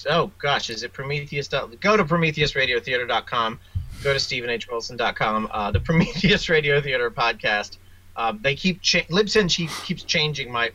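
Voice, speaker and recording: Guy guest on an interview, really bright crisp-edged voice, kinda rambly, slightly muffled lo-fi sound. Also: medium pitch. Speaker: interview guest, author and audio drama producer. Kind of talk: casual interview answers.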